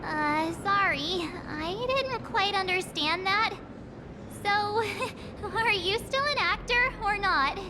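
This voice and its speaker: Very high, cutesy teenage girl. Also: animated, takes it slowly, background noise, heavily acted. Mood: confused. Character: perky, cute teenage girl adventurer, a little huffy. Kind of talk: anime-style video game voice acting.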